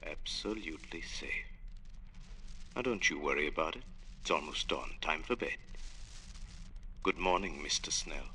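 An actor playing a sly, falsely friendly rival in an old radio drama, with mocking, drawling politeness. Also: male voice, rumbling low, animated, moderate pace, pauses often. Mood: falsely soothing. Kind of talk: 1940s-50s radio drama acting. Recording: noisy vintage radio broadcast.

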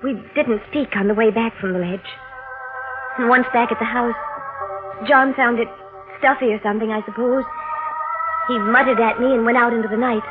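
An actress playing an anxious, inquisitive wife in a 1940s radio mystery, speaking in a hesitant, probing way. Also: female voice, fairly high pitch, fairly even intonation, moderate pace, pauses often, narrow, tinny audio, close to an everyday voice. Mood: somber narrating. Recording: thin vintage radio broadcast, music underneath.

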